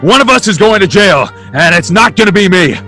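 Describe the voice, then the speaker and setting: A man's voice: high voice, animated, a little fast, pushed and bright, faint music behind. A man playing an earnest, hot-tempered young small-town man in a radio drama, emphatic and impassioned. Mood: furious. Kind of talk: old-time radio drama acting.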